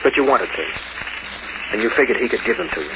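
An actor playing a cool, scheming young crook in 1940s radio noir style, clipped and tough. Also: male voice, lowish voice, expressive, fast, close to an everyday voice. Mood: intense, probing. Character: scheming, cool, hard-boiled young male lover and crook. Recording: muffled, noisy old radio recording.

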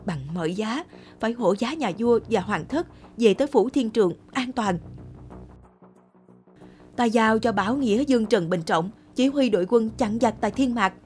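A woman voicing a grave, determined male army commander with measured authority in a historical cartoon. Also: on the high side, lively intonation, a little mellow, soft background music, natural voice. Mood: grave resolve. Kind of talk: cartoon history drama acting.

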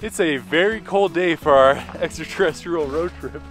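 A man's voice: on the high side, moderate pace, fairly bright tone, faint music behind. Upbeat man vlogging at arm's length. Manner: upbeat, chatty, laughs while talking. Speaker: travel vlogger on camera. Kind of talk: casual on-the-spot vlog talk. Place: outdoors on cold mining site and hotel interior. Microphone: camera held at arm's length.

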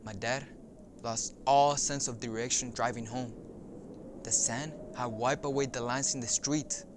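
An earnest son of a farmworker mother tells his memories played by a young man. He speaks in measured phrases with pauses, at a medium pitch. A faint, steady noise sits behind him. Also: close to an everyday voice. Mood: earnest reminiscence. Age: young adult. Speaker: actor in an oral history dramatization. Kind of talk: dramatized first-person monologue.

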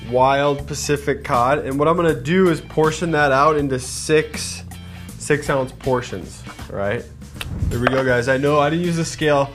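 A man in his thirties with a mid-range voice, hosting a cooking show in a studio kitchen. He talks in a chatty, upbeat way straight to the viewers. Music plays clearly behind him, with a pan sizzling. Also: mid-range voice. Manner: energetic, chatty, addresses viewers as guys. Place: home-style studio kitchen, indoors. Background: background music, sizzling pan.

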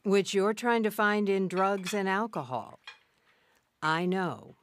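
An actress playing a caring, devout middle-aged mother in an audio drama, gentle and earnest. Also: medium pitch, animated, slow pace, slight background noise.

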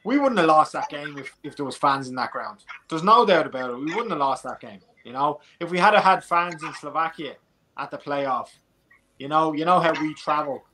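A man's voice: on the high side, lively intonation, normal speed, bright, forceful tone, poor audio. An Irish man giving his opinions on football in a casual post-match chat over a video call, passionate and repeating his points for emphasis. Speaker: football pundit.

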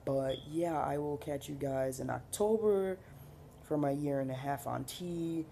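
A man's voice: a little high, measured pace, creaky, dark tone, a little hiss or hum. A young man talking casually to his webcam on a porch, rambling with many fillers and restarts. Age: young adult. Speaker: vlogger. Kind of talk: casual rambling vlog update. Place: porch outdoors, seated on wicker chair.

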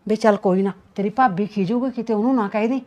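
A woman's voice: medium pitch, fast talker, a little mellow, highs cut off, natural voice. A woman playing a scolding Punjabi-style mother. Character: scolding middle-aged mother of the house. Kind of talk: comic family skit acting.

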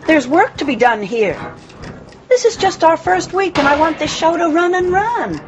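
A woman's voice: very high voice, expressive, moderate pace. An actress in a radio drama playing a taunting, foul-mouthed demon that possesses a young girl, mocking and sneering.

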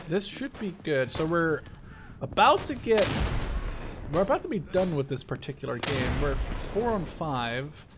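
A man's voice: a little high, noisy background. A man doing casual video game commentary in a rambling, profane, easily distracted way. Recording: gunfire and clicks behind the voice. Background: video game gunfire.

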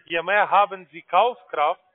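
Man, higher-pitched voice, foreign accent, call-centre salesman, formal and halting, narrow tinny phone line. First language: not German. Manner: halting, formal German with grammar slips.

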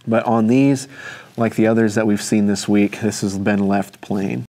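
A young man with a fairly low voice talks through the details of the objects he holds in a steady, measured way. He speaks from a table in an indoor studio, close to a clip-on mic. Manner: measured, detailed describing while handling objects. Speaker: firearms presenter. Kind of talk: informal product walkthrough.

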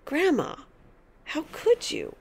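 A woman's voice: high-pitched, wildly swooping pitch, slow, a little mellow, pitched above the performer's own voice, full character voice. A woman voicing a polite little boy of eight in a storybook, plaintive and protesting. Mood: dismayed, protesting. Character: small eight-year-old boy, polite, dismayed by his grandmother.

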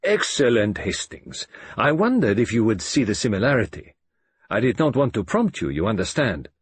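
A man's voice: lowish voice, very animated, moderate pace, slightly rough, no echo. A man voicing an elderly, frail detective, speaking in a precise, formal, sly manner. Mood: evasive.

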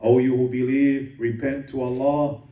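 A man giving a Friday sermon as imam, reciting Arabic verses quickly and translating them into English. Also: mid-range voice, level tone, dull audio. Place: mosque prayer hall. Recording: echoey room, voice from a distance.

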